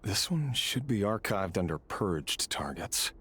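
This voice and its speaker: A man's voice: lowish voice, big pitch swings, measured pace, fairly bright tone, husky, full character voice. A man voicing a cold, methodical intelligence operative in a video game, clipped and clinical. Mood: cold, detached. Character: cold, methodical male intelligence operative who purges targets.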